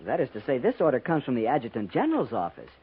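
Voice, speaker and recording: An American actor playing an earnest, deferential, by-the-book young army officer in a 1950s radio drama, pedantic and easily flustered. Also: male voice, mid-range voice, wildly swooping pitch, racing, slightly rough, exaggerated voice. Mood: officious, pedantic. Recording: dull 1950s radio recording.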